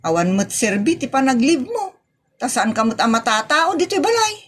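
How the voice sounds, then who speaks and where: Woman acting a nagging, long-suffering woman, high-pitched. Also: very animated, normal speed, slightly rough. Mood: reproachful. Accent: Ilocano. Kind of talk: comedic audio drama acting.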